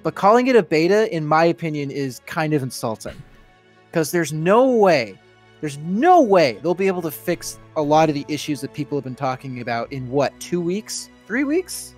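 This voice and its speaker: A man's voice: a little high, big pitch swings, moderate pace, faint music behind. A man chatting casually as he co-commentates on a video game stream.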